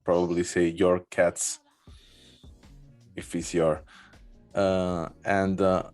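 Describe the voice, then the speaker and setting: A male podcast host interviewing a guest, speaking haltingly with many fillers and non-native phrasing. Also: sits low, little pitch movement, a little mellow, faint music behind.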